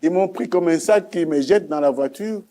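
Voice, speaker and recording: Congolese guy telling his faith story, warm mellow voice, slightly high, kinda emphatic. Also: normal speed. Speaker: guest giving testimony.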